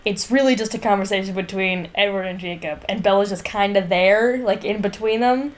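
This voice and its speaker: A woman's voice: mid-range voice, a little fast. A woman co-hosting a casual book discussion podcast, speaking with sardonic, sweary exasperation. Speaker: podcast co-host.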